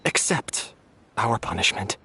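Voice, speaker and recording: A man voicing a remorseful, resigned young man with a husky voice in a video game. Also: on the low side, very animated, measured pace, bright, forceful tone, slight background noise, full character voice. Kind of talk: game voice acting.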